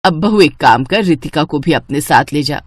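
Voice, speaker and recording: A woman's voice: sits low, expressive, moderate pace, husky, no echo, pitched below the performer's own voice. A woman voicing a thrifty older mother-in-law in a moral story cartoon, watchful and fond of nagging household advice. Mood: instructive.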